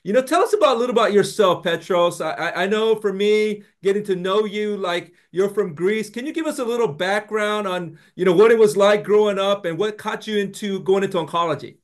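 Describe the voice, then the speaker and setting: Middle-aged male host, high-pitched, casual and enthusiastic, on a desk mic. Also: brisk, few pauses. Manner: casual and enthusiastic, says "you know" and "like" often. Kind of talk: interview hosting. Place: home office, video call. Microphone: desk microphone.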